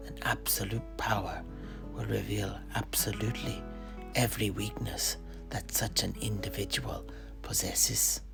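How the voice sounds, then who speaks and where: A performer voicing a grave old master who teaches in a hushed, deliberate, ominous way. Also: medium pitch, fairly even intonation, gravelly, music in the background. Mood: solemn, ominous.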